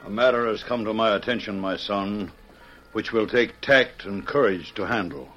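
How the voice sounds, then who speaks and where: A grave, kindly mission priest in a vintage radio drama. His low voice is measured and formal, heard through a slightly muffled old broadcast. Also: a bit bright, faint music behind. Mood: grave, confiding. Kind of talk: 1940s-50s radio western acting.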